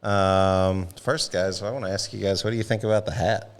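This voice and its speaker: A man's voice: sits low, fairly even intonation, normal speed. A man hosting a podcast in a casual, jokey, folksy style of interview banter. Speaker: podcast host.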